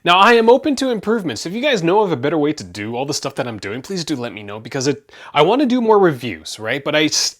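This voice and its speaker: A man's voice: fairly high pitch, a bit bright. Chatty Canadian-raised man rambling on. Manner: chatty, rambling, frequent self-corrections and fillers. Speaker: tech reviewer, channel host. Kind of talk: casual talk to camera.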